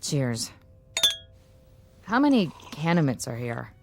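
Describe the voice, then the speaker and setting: An easygoing female office assistant speaks in a notably deep voice. She is inquisitive, dry and conversational, in radio drama dialogue with light music underneath. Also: very animated, slow pace, creaky, heavily acted. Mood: casual, musing.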